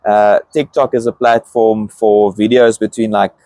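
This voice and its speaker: A man's voice: on the low side, flat delivery, unhurried, warm tone, dry recording. A man interviewing a new church team member, affirming and reflective, thinking aloud as he goes. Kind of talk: casual interview.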